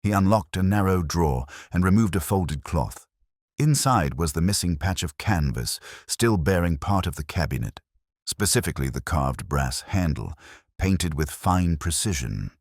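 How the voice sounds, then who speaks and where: A man reading a scripted mystery story as narrator, in a measured, literary storytelling manner. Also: low-pitched, animated, no echo, studio quality. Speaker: audiobook narrator.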